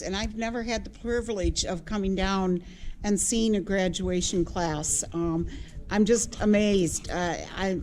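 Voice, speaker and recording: An older woman speaks slowly and hesitantly. She fills her short speech with ums. Faint noise sits behind her. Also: mid-range voice, darkish tone, poor audio. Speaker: retired breeding host volunteer.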